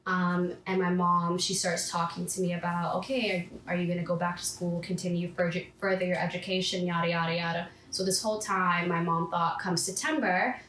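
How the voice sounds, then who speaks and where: A woman in her twenties tells her story casually and acts out her own past self-talk. Her voice sits a little low for a woman. The room is echoey, with a faint hiss underneath. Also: little pitch movement, brisk, few pauses, a bit bright. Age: 20s. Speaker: podcast guest.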